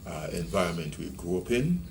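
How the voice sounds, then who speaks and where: Retiring police officer, Jamaican man in his sixties, deep voice, unhurried storytelling, noisy room. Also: very animated. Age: 60s. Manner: measured, reminiscing, with many ums and restarts. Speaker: retiring police deputy commissioner. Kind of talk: reflective interview storytelling.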